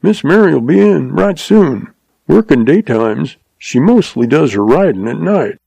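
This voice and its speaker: A man's voice: fairly high pitch, sing-song, a little slow, creaky, mellow, husky, dry recording, pitched above the performer's own voice, exaggerated voice. A man voicing a chatty little male stableman who chuckles and talks in folksy frontier speech. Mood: chuckling, folksy. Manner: chuckling, folksy western drawl.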